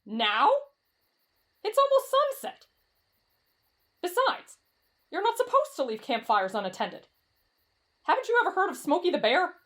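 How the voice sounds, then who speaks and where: Woman acting nervous lovesick teen anime boy, whiny and dramatic, really high voice that jumps all over. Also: very animated, measured pace, long pauses, a bit bright, heavily acted. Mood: anxious, fretful. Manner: dramatic, whiny, swinging between fretful and gushing. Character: timid, excitable, lovestruck teenage boy on a camping trip. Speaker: voice actor in roleplay audio. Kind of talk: anime-style ASMR roleplay drama.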